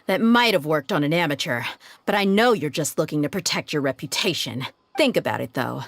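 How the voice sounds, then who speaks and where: A woman voicing a tough, confident, blunt female mercenary in a video game, with a brisk, cocky manner. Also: deep voice, expressive, no room sound. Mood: menacing, teasing. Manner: brisk, cocky, casually menacing.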